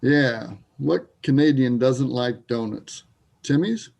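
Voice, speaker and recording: Man acting as gravelly, wry, troubled Canadian guy. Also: medium pitch, slow, darkish tone. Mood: wry, teasing. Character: Tony, troubled grieving Canadian man, wry teasing Habs fan. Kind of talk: readers theater dialogue.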